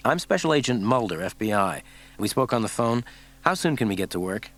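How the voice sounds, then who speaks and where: A man's voice: mid-range voice, big pitch swings, a little fast, a bit bright. A man voicing a cocky male federal agent who speaks mildly and wryly. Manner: mild, wry, cocky.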